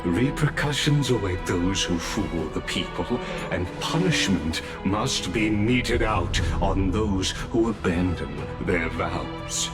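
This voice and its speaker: An actor playing a calm, formal male official who speaks deliberately and solemnly. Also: sits low, over loud music.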